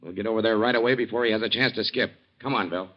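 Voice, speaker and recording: An actor playing a matter-of-fact sheriff, businesslike and clipped, in 1940s radio style. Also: male voice, lowish voice, steady tone, rapid-fire, lightly acted. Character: matter-of-fact, brisk small-town male sheriff. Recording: dull vintage 1940s radio recording.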